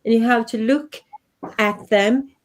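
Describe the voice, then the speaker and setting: A woman's voice: a little high, fairly even intonation, unhurried. A woman in her fifties from Sweden, with a Swedish accent, talking earnestly and reflectively as an interview guest. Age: 50s. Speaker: guest, life and business coach.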